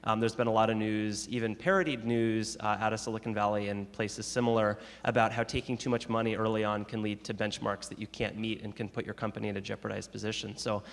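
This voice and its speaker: A man's voice: lowish voice, little pitch movement, fast, creaky, hardly any pauses. A man co-hosting a lecture, asking the guest long, carefully framed questions. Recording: long hall reverb.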